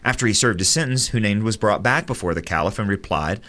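Low-voiced male radio commentator. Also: fast talker. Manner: earnest, steady narration.

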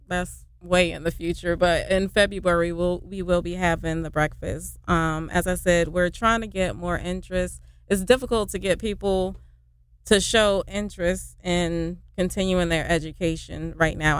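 A woman's voice: lowish voice, clear, resonant tone, no room sound. A woman who founded a nonprofit, speaking as a radio guest in a hesitant, earnest way with many fillers. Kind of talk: casual radio interview.

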